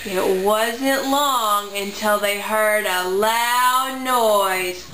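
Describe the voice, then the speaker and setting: A woman's voice: mid-range voice, extremely slow. A woman narrating a simple puppet-show story for children at home. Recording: echoey room, faint background noise.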